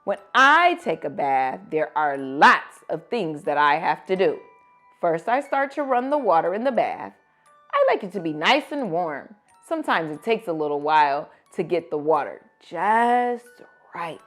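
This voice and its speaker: A woman reading picture books aloud to children as host, with expressive, dramatic emphasis. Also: on the low side, measured pace, faint music behind. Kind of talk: animated storybook read-aloud for children.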